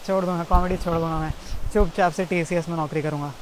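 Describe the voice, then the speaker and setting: Young Indian comic, twenties, awkward and self-mocking, swearing, talking to camera outside, distant mic, faint hiss. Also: male voice, fairly high pitch, fairly even intonation, quick pace. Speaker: stand-up comedian. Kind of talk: rambling outtakes to camera, then stand-up set. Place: outdoors by a jungle stream.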